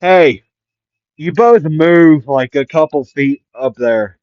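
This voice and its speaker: A man's voice: fairly low pitch, steady tone, a little slow, a little mellow, dry recording, close to an everyday voice. Guy doing a stern male teacher, blunt n bossy, takes his time, dry muffled mic. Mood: commanding. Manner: blunt, commanding.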